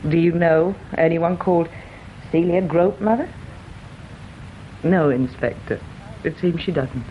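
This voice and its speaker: An actress playing a guarded daughter who coolly and crisply shields her mother from a police inspector in a radio drama. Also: on the low side, measured pace, slight background noise, lightly acted. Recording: dull lo-fi radio recording.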